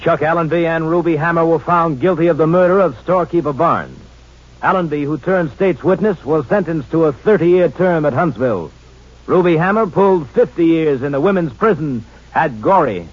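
A man's voice: fairly high pitch, normal speed. A man reading scripted case narration in the style of 1950s radio. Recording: muffled vintage radio recording.

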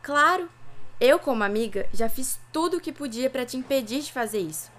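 A woman's voice: high voice, lively intonation, normal speed, a bit bright. A woman playing a reluctant, weary schoolgirl friend in a radio drama, with a Brazilian accent. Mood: weary refusal.

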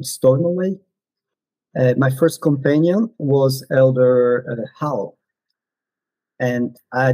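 A man in his forties, a non-native English speaker, casually reminiscing in an interview with frequent pauses and fillers. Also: mid-range voice, warm tone, dry recording, poor audio. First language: not English. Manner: hesitant, many fillers and restarts. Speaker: podcast guest, former missionary.

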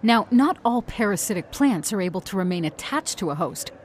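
A woman playing a firm, pressuring high school biology teacher in an audio drama, with a didactic manner. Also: lively intonation, a little fast, slight background noise, lightly acted. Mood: lecturing.

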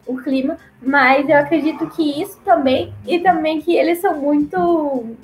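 A young woman from Brazil, chatting casually and laughing often as she tells stories, acting out the people she quotes in a higher voice. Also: steady tone, moderate pace, echoey room, soft background music. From Paraná, southern Brazil. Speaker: guest, former missionary. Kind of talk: casual conversational storytelling.

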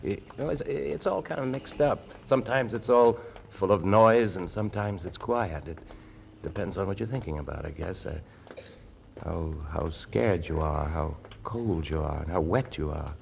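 Low-voiced, halting young soldier, noisy recording. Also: male voice, creaky. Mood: haunted, halting. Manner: halting, stammering, hesitant, self-effacing. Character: troubled, shy young soldier home from war. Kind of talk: 1940s radio drama acting. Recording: noisy old radio recording.

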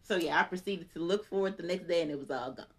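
A woman's voice: on the low side, fast. A woman in her thirties co-hosting a casual podcast, telling stories with exaggerated indignation and laughing often. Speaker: podcast co-host. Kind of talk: casual chat.

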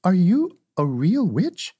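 A reader voicing an innocent little farm girl, earnest and wondering, with a slightly husky tone. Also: wildly swooping pitch, slow, dark tone, full character voice. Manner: high, wondering, anxious.

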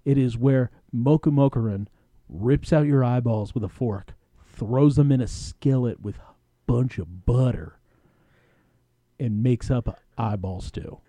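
A man's voice: mid-range voice, measured pace, warm tone, no room sound. A man co-hosting a podcast, casually recapping a show with slangy, playful storytelling and bits of acted-out dialogue. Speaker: podcast co-host.

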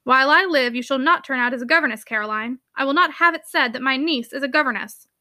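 A woman's voice: sits high, fast, sharp tone, dry recording. A woman voicing a blustering, impatient middle-aged uncle from a storybook, brusque, scoffing and exclamatory. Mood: firm, impatient. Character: blustering, impatient fifty-five-year-old uncle, gruffly dismissive. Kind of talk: storybook character dialogue.

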